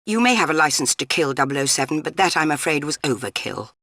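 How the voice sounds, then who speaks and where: An actress playing a stern older British spy chief in a video game, clipped, commanding and dryly disapproving. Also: female voice, low voice, lively intonation, quick pace, pushed and bright, dry recording, full character voice.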